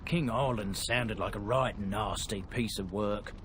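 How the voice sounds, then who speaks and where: A man's voice: fairly low pitch, measured pace, background noise, natural voice. A man playing a friendly dwarf trader. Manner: easygoing, chatty. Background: game music.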